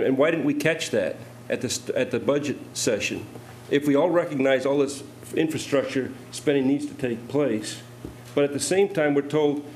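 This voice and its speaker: A middle-aged man alderman argues in a city council chamber. His voice sits at an ordinary pitch, and he questions the figures with skeptical, pointed challenges. A desk microphone picks him up over a faint hum in the room. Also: darkish tone. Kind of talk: council debate.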